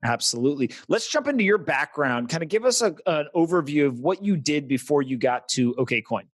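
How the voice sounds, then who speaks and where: A man's voice: a little high, lively intonation, brisk. A man hosting a podcast interview in a casual, upbeat way. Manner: upbeat, informal. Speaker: podcast host.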